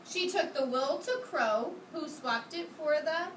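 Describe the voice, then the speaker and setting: High-voiced woman teacher reading to kindergarteners. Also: a little slow, fairly bright tone. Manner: encouraging, questioning, praising pupils. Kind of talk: interactive storybook read-aloud to young children. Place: indoor kindergarten classroom. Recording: roomy, distant mic, noisy classroom.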